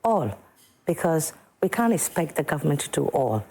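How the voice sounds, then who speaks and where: A woman's voice: deep voice, very animated, moderate pace, mellow, a little hiss or hum. A woman from Sekondi-Takoradi in Ghana, a musician guest on a morning TV studio show, who talks emphatically and repeats words for stress. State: a bit slow this morning.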